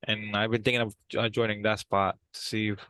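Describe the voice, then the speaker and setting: Halting, lowish man acting as a mild brother. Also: little pitch movement, pushed and bright, dry recording. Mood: mild, tentative. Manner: halting, hesitant. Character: reticent brother, an aspiring drummer, mild and agreeable. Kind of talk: improvised role-play dialogue. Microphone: video call.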